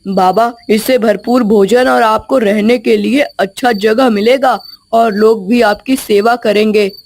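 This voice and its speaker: A woman's voice: on the high side, steady tone, unhurried, a little mellow. Woman acting young boy, earnest, brave, pleading, polite, insistent, storybook character.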